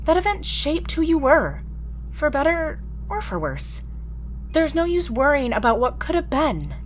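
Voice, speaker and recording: A woman's voice: high-pitched, sing-song, unhurried, faint music behind, low-quality recording. A woman voicing an earnest rabbit prosecutor. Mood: consoling.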